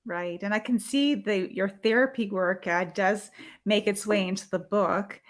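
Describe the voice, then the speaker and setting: A woman's voice: medium pitch, unhurried. A woman who is a publisher, hosting and interviewing a guest for a magazine's video, with friendly, encouraging remarks. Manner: friendly, short encouraging back-channels.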